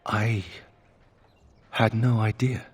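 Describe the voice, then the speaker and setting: An actor playing a brooding young nobleman in a video game, husky and earnest, with a subdued delivery. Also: fairly low pitch, little pitch movement, slow. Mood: defensive, stunned. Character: brooding young nobleman troubled by his family's disgrace.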